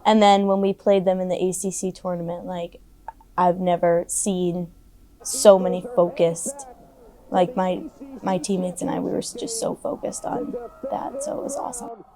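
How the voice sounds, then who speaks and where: A woman's voice: on the low side, expressive, moderate pace, mellow, a little hiss or hum. A woman college lacrosse player giving chatty interview answers full of fillers. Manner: chatty, many likes and fillers.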